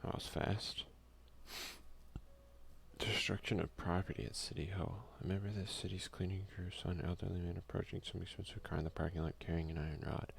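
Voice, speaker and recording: A man hosting an ASMR let's play, speaking softly and casually into a close microphone. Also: low-pitched, fairly even intonation, fairly quick, gravelly. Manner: hushed, casual. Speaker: ASMR gaming host. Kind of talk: soft-spoken ASMR let's play commentary.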